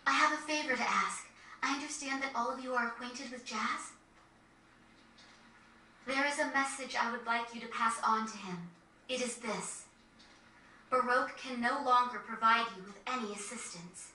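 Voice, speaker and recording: A principled young noblewoman in a game cutscene. Her high, bright voice is formal and deliberate, polite yet firm. The sound is roomy and slightly noisy. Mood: solemn, resolute. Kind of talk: dramatic video game cutscene acting. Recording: roomy, distant game capture with faint noise.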